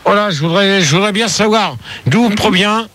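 A man's voice: high-pitched, normal speed, a bit bright, dry recording. A man hosting a comic radio call-in debate, hesitant and full of fillers, scolding his callers.

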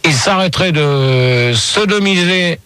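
A man's voice: fairly high pitch, lively intonation, a little slow, a bit bright, clean tone, dry recording. A man hosting a comic radio show, reading out absurd poll results in a mock-serious, crude manner. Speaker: radio host.

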